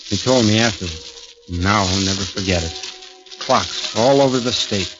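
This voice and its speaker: Man acting a haunted, low-voiced American condemned prisoner. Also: moderate pace, close to an everyday voice. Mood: brooding. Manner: plain-spoken, brooding, confiding. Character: haunted working-class condemned man recounting his ordeal. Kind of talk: 1940s radio acting, first-person narration. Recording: dull 1940s radio recording, loud music behind.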